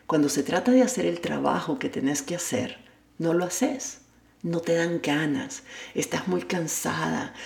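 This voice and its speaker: Low-voiced woman coaching in an echoey room. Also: creaky, a little mellow. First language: Spanish. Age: adult with a child at home. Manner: candid, self-deprecating, addresses the listener directly. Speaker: life coach and podcast host. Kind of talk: motivational monologue.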